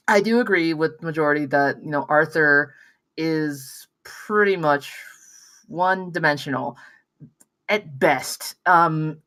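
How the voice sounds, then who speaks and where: A woman gives informal feedback as a voice actor at a script reading, speaking in a halting, rambling way with frequent pauses. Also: fairly low pitch, a bit bright.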